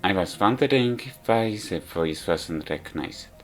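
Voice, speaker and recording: Low slow guy reviewing a visual novel, halting non-native english, bit rambly. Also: expressive. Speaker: video game reviewer. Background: background music.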